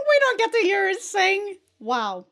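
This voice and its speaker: A woman with a high-pitched voice reacts off the cuff as host of a reaction video. She talks in a casual, excitable way, swears freely and is quick to laugh. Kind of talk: casual live reaction commentary.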